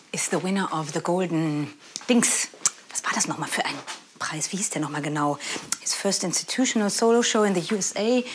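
Harried, broke art-world woman played by an actress, medium pitch, muttering monologue that keeps interrupting itself. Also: a little hiss or hum, close to an everyday voice. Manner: rambling, self-interrupting, mixes in English announcements. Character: harried, broke art worker drafting gushing announcements. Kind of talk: spoken-word monologue, thinking aloud.

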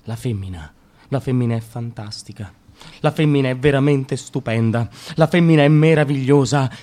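Man doing a leering cop, low murmur, pushy, radio play. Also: normal speed, darkish tone, lightly acted. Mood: lecherous. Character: leering male policeman, pushy stalker. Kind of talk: radio drama acting.

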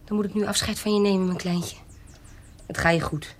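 An actress playing a resolute, scheming baroness in a period audio drama, speaking formally and deliberately. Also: female voice, medium pitch, fairly even intonation, lightly acted. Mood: resolute, tender. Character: resolute, scheming self-styled baroness, protective aunt.

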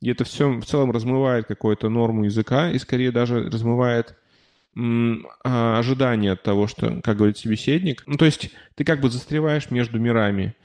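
A man's voice: mid-range voice, fairly even intonation, a little fast, darkish tone. Rambling, easygoing man telling stories. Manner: rambling storytelling with frequent fillers and restarts. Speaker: podcast guest living abroad. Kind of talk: casual chat.

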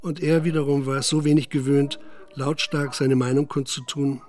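A man narrating a radio play in a measured, matter-of-fact literary style. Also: medium pitch, flat delivery, darkish tone, lightly acted. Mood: measured, sober. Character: sober male narrator recounting a village scandal.

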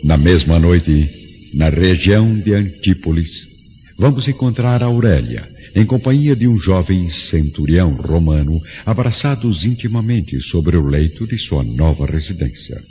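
A man's voice: deep voice, lively intonation, measured pace, dark tone, slight background noise. A man narrating a radio drama in solemn, grave, literary style. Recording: dull lo-fi radio drama recording. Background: clear background music.

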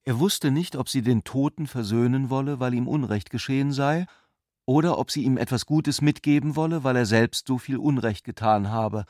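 A man's voice: medium pitch, moderate pace, darkish tone, no echo, studio quality. A man narrating literary prose for a radio drama.

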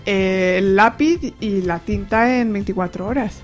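A woman's voice: mid-range voice, unhurried, faint music behind. A woman from co-hosting a casual radio review chat in a colloquial, playful way. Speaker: radio show co-host.

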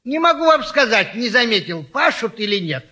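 A man's voice: very high-pitched, big pitch swings, normal speed, bright, forceful tone, full character voice. An actor playing a boastful, gallant retired naval lieutenant who tells tall tales in a stage comedy, hemming and savoring as he goes. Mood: chatty, boastful. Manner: hesitant hemming, savoring storyteller. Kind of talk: classic stage comedy acting.

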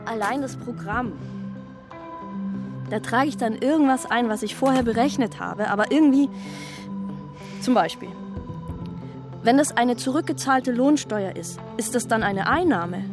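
A woman's voice: fairly high pitch, measured pace, long pauses, music in the background. An actress playing a scatterbrained, self-justifying woman in a naturalistic radio drama monologue, rambling and questioning herself as she goes. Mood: flustered, puzzled.

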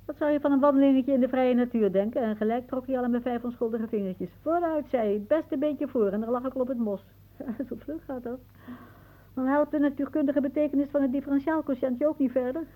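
An actress playing a sardonic, rambling old aunt in a radio play, given to suggestive musings and muttering. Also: female voice, high voice, fast, close to an everyday voice. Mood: wry, suggestive. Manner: muttering musings, then calling out loudly. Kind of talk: theatrical radio play acting. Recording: narrow, tinny band like old radio.

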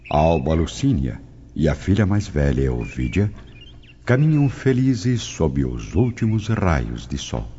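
A man's voice: deep voice, mellow, slight background noise. A man narrating a radio drama from a script as a storyteller. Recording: dull lo-fi audio. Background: music.

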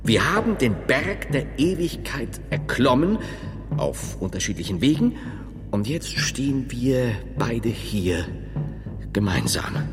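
An actor playing a learned, solemn and modest medieval friar and philosopher in a German radio play, speaking in a measured, didactic way. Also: male voice, medium pitch, very animated. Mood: solemn, lecturing. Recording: long cavernous reverb, music underneath.

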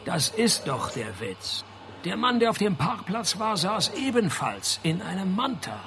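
Deadpan private detective, slightly high voice. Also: male voice, a little slow, vocal fry, heavily acted. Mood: sardonic. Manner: dry, deadpan. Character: laconic, cynical male private detective. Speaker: narrator and lead actor. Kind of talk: radio detective play, first-person narration and dialogue.

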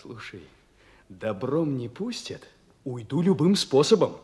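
An actor playing a stubborn, disillusioned young naval officer in a Russian radio play, with an impassioned, protesting delivery. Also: male voice, medium pitch, sing-song, a little slow, a little mellow. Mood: bitter, agitated.

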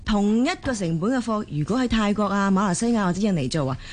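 A woman acting a busy working mother in a radio drama, with a Hong Kong Cantonese accent, expressive, colloquial and easily exasperated. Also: mid-range voice, fast, lightly acted.

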